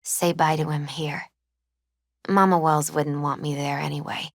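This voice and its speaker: A gentle young woman in her twenties, speaking as a grieving video game character. Her voice sits fairly low and comes through dry, with no room around it. She is subdued and wistful, hesitating as she talks in a natural, unforced way. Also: steady tone, unhurried, no echo. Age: 20s.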